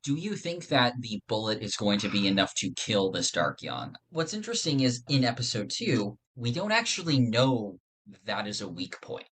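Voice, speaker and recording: A man's voice: on the low side, very animated, normal speed, few pauses, fairly bright tone, slight background noise. A man in a casual podcast discussion, explaining fictional lore in earnest detail. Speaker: podcast panelist.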